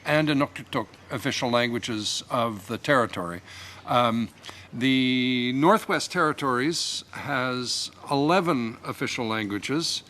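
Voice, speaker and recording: A man answering a question at a seminar panel, measured and halting with frequent um fillers. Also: mid-range voice, fairly bright tone. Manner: measured, with many pauses and um fillers.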